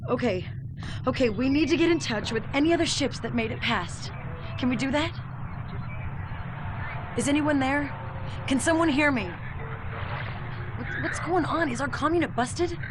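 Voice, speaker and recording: A woman playing a tough, sardonic spaceship pilot in a video game, brisk and sarcastic. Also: high-pitched, noisy background, close to an everyday voice. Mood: anxious. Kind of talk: video game cutscene acting.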